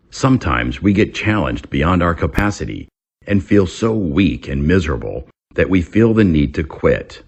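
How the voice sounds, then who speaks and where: A man reading an English lesson aloud in a deliberate, instructional way, as a teacher does. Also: low voice, gravelly, husky.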